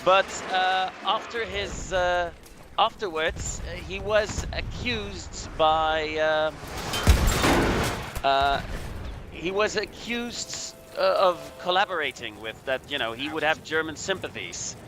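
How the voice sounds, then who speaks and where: A Belgian man giving casual, halting history commentary over a video game, explaining things hesitantly. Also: a little high, noisy background. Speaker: guest commentator.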